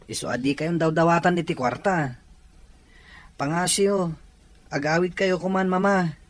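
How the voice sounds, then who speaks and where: A woman's voice: on the low side, unhurried, natural voice. A woman playing a responsible, reproachful grown child in an Ilocano audio drama. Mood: reproachful, urging.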